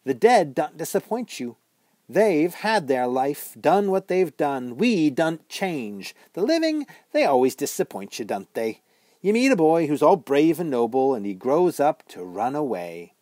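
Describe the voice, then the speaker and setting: A man reading an audiobook, voicing a sharp-tongued ghostly witch girl who chides in old-fashioned speech. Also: fairly high pitch, very animated, measured pace, dry recording, studio quality, pitched above the performer's own voice. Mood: scolding. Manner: chiding, archaic turns of speech.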